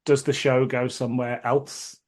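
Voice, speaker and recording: A male podcast host with a medium-pitched voice, talking casually as he reviews and discusses. His delivery is that of relaxed conversation between two people, not a script. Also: measured pace.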